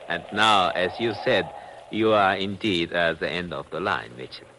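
An actor playing a fussily polite, self-pitying little gang boss with quiet menace in a 1950 radio thriller. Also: male voice, deep voice, measured pace. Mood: smug, polite. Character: meek-sounding, mock-apologetic little villain, coolly murderous gang boss. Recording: noisy old 1950 radio recording.

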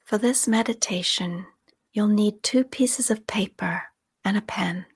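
Breathy, slow woman guiding a meditation. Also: medium pitch. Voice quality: soft, breathy, often whispery. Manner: calm, soothing, unhurried with long pauses. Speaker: meditation guide and podcast host. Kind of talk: guided meditation, slow scripted narration.

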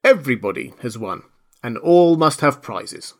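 A man's voice: mid-range voice, sing-song, measured pace. A man voicing a solemn, self-important dodo bird with a grave, pompous manner in a storybook reading. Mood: declaring.

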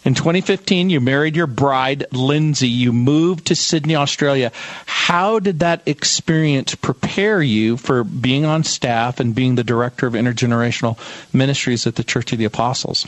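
A man's voice: medium pitch, moderate pace, hardly any pauses, dry recording. A man hosting an interview, reading out the guest's background before asking his questions. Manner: warm interjections, reads facts before asking. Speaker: interview host.

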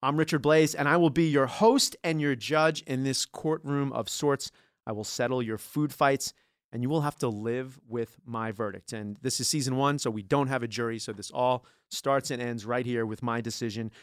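A man's voice: medium pitch, fairly even intonation, moderate pace, no room sound, clean studio sound. A man hosting a food podcast as a playful judge, joking and laughing with his guests. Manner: jokey, laughs often.

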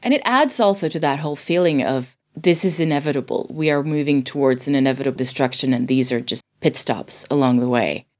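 A woman from Sweden with a low-pitched voice talks casually about film. She is a native Swedish speaker and thinks aloud, often stopping to rephrase as she goes. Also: fairly quick, hardly any pauses, darkish tone. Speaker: podcast guest reviewing a film.

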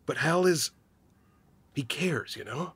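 An actor playing a weary, guilt-ridden small-town loner in a naturalistic audio drama, husky and hesitant, confessing haltingly. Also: male voice, on the high side, lively intonation, fairly bright tone, no room sound, exaggerated voice. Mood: reluctant confessing.

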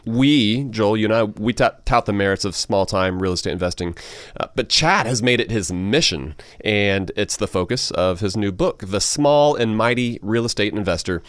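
A man's voice: fairly low pitch, lively intonation, normal speed, fairly bright tone, dry recording, studio quality. A man co-hosting a podcast in a casual, conversational interview style. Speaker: podcast co-host.

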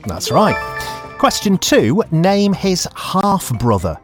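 A man hosting a quiz, putting questions to a contestant in an upbeat, lively way. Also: sits high, slow, faint music behind. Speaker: quiz host.

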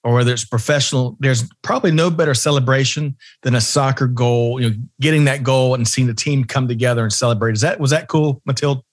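A man's voice: mid-range voice, quick pace, hardly any pauses, dry recording. Upbeat male podcast host, dry close sound. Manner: upbeat, friendly, uses fillers. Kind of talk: casual interview hosting.

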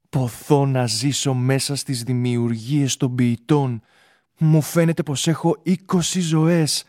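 A man's voice: medium pitch, warm tone, no echo, clean studio sound, natural voice. A man playing an ardent, ambitious young stage actor in love, in a theatrical, impassioned radio drama style. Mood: yearning, impassioned.

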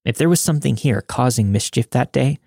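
A man reading a listener's story as scripted podcast narration, in a measured, matter-of-fact way. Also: medium pitch, animated, vocal fry, a little mellow, dry recording, studio quality.

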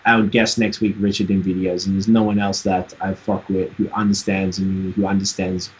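A man in his thirties with a Trinidadian accent, hosting a casual podcast chat, relaxed and laughing, swearing freely. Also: low-pitched, moderate pace, rough recording. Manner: laid-back, swears freely, laughs often. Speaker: podcast host and musician.

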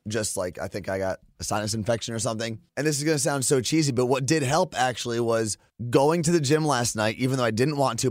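A man hosting a fitness podcast in a casual, rambling, upbeat way, his voice a little hoarse. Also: medium pitch, dry recording, clean studio sound. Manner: rambling, upbeat, self-deprecating. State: losing his voice, a bit hoarse. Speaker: podcast host.

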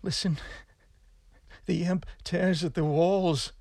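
A man voicing a chained, doomed madman in a gothic horror tale, with fevered, theatrical, drawn-out delivery. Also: high-pitched, fairly even intonation, gravelly, darkish tone, dry recording, studio quality, heavily acted. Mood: fevered despair. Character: chained, doomed madman haunted by an inner imp.

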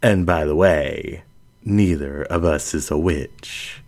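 A man voicing a composed, dominant lioness mystic in an audiobook, speaking in a measured, deliberate way. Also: very deep voice, darkish tone, voice pushed down, heavily acted. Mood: amused, composed. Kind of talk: audiobook character dialogue.

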